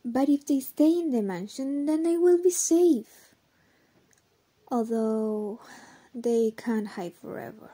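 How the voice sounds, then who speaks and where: Actress doing sweet upbeat young woman for audio drama, soft mellow high voice, bit husky. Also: animated, takes it slowly, heavily acted. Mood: earnest. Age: young adult. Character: cheerful, caring young woman who loves magic.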